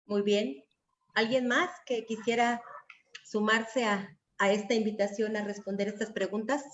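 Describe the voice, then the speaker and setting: A woman's voice: mid-range voice, steady tone, a little slow, slight background noise. A woman moderating a panel discussion and inviting participants to speak. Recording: dull lo-fi video call audio.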